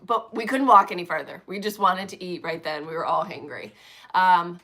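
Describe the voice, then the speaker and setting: Woman, bright voice, chatty, laughs often, live-stream host, phone camera. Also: lowish voice, normal speed. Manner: chatty, laughs often, greets viewers by place. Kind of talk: casual live-stream chat.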